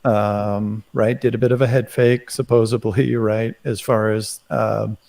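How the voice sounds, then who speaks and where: Man with a mellow, slightly creaky voice. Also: lowish voice, flat delivery. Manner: halting, often tags phrases with "right". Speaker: commentator on a live talk show. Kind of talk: casual discussion of current affairs.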